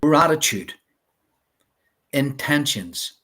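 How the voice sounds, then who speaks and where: A man's voice: fairly high pitch, lively intonation, drawn-out words, sharp tone. A man with a Belfast accent, a life coach guest explaining his ideas earnestly, with many fillers. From Northern Ireland. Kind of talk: interview chat.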